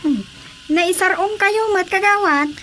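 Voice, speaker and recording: Bright, high-pitched market porridge seller. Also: female voice, unhurried, faint music behind. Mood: respectful. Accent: Ilocano. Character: eager, deferential woman selling porridge at market. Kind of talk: Ilocano radio drama comedy acting.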